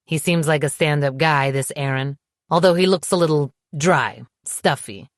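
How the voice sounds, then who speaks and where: A woman voicing a calm, confident, patronizing man in an audiobook, smooth and condescending. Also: deep voice, normal speed, no room sound, clean studio sound, natural voice. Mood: smug, calm.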